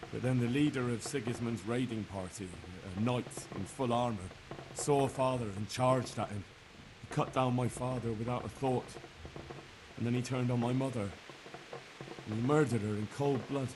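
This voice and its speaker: An actor playing a young blacksmith's son in a video game, earnestly and sorrowfully recounting a massacre. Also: male voice, mid-range voice, noisy background, lightly acted. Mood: grim, grieving. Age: young adult. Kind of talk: dramatic game cutscene acting.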